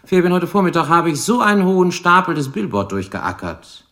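Crime drama lieutenant: shrewd, dryly ironic, deliberate questioner, creaky voice, lively intonation. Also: male voice, fairly high pitch. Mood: dryly pressing. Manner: probing, deliberate, dry irony. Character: shrewd, dogged male police lieutenant questioning suspects. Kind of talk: radio crime drama acting.

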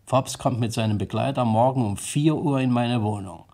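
Brief: a man playing a seasoned, self-assured sleuth for a radio mystery, creaky and rough-edged, politely probing with dry assurance. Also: medium pitch, moderate pace, warm tone, close to an everyday voice. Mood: wry, assured. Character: shrewd, self-assured, ailing veteran police detective. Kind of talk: old German radio crime drama acting.

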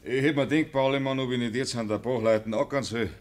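An actor playing a plain-spoken, hesitant farmhand in a radio drama, deferential and speaking Bavarian dialect. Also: male voice, medium pitch, fairly even intonation, fast talker, natural voice.

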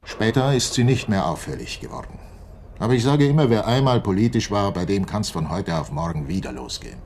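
A man acting in a radio play as a defensive, self-important head of company security who explains himself deliberately. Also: fairly low pitch, expressive, darkish tone, a little hiss or hum. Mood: matter-of-fact.

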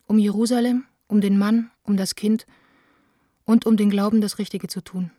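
A woman's voice: medium pitch, steady tone, moderate pace, warm tone, dry recording, studio quality, close to an everyday voice. An actress playing a passionate, wounded young woman who reads her candid letters in a radio play, intimate and plainspoken. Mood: wistful, tender.

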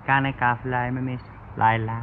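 An actor playing a polite, evasive manservant who speaks deferentially in broken pidgin in an old radio drama. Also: male voice, medium pitch, level tone, moderate pace, natural voice. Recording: muffled narrow-band old radio recording, faint noise.

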